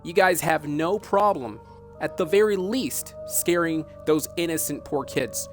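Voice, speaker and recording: A man's voice: fairly high pitch, animated, a little slow, music in the background. A man hosting an on-air interview, putting long, challenging questions in an earnest, insistent yet polite and reproachful way. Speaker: broadcaster interviewing by phone.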